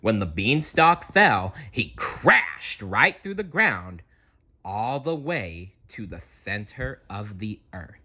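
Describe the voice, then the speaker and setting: A man narrates a children's read-aloud with a very animated, bright voice. His delivery is playful and dramatic, unhurried, with a husky edge. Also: lowish voice. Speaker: storyteller.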